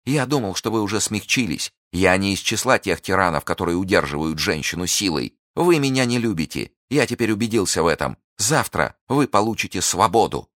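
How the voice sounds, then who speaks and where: A man's voice: low-pitched, lively intonation, brisk, hardly any pauses, a bit bright, no echo, clean studio sound, pitched above the performer's own voice. A man reading an audiobook, voicing a scheming nobleman who talks in a mocking, mock-gallant way.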